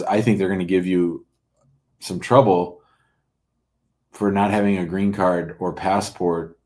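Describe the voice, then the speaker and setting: Low-voiced man, blunt call-in advice host. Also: a little mellow. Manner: blunt, probing, informal. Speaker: host taking callers' immigration questions. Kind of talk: conversational legal advice on a live call-in show.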